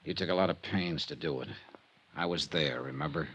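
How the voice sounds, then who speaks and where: A man's voice: extremely low pitch, brisk, a bit bright, breathy edge, full character voice. An actor playing a tough, dogged insurance investigator in an old-time radio drama, terse and dry as he narrates and questions. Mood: pressing, stern. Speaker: lead detective and narrator. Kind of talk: hard-boiled 1950s radio detective acting.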